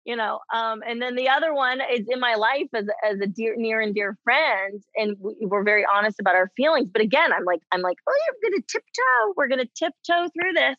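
A woman in her thirties talking candidly as a guest, halting with many restarts and frequent laughs. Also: on the high side, lively intonation, bright, forceful tone, no room sound, dull audio. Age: 30s. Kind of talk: candid conversation.

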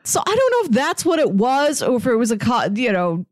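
A woman's voice: a little high, sing-song, fairly quick, a little mellow, no echo, clean studio sound. A woman co-hosting a podcast, discussing films casually in a conversational, lightly amused way. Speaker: podcast co-host reviewing films.

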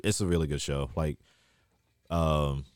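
A man with a very deep voice trades laid-back, teasing banter on a podcast. He sounds relaxed and casual among friends. The mic is close and the sound is dry. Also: measured pace, smooth, no echo. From United States. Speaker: podcast co-host. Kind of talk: casual chat.

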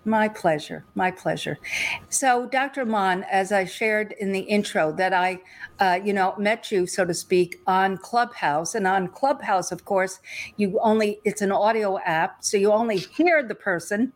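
A woman's voice: medium pitch, unhurried. Woman hosting an interview show. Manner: warm welcoming, repeats greetings. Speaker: show host. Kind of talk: interview podcast.

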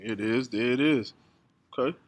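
A man's voice: mid-range voice, moderate pace, dry recording. A man hosting an interview show, upbeat and hyped, often repeating his questions. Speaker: radio show host.

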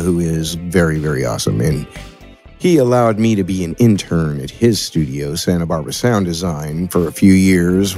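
Deep-voiced American man explaining his work. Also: darkish tone. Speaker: audio producer and sound designer, podcast guest. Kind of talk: interview answers, explanatory talk.

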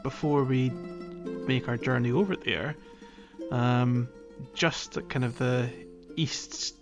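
Halting man, slightly dull recording. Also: mid-range voice, fairly even intonation, faint music behind. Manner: halting, hesitant delivery with stammered false starts. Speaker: podcast co-host. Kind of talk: casual game recap chat.

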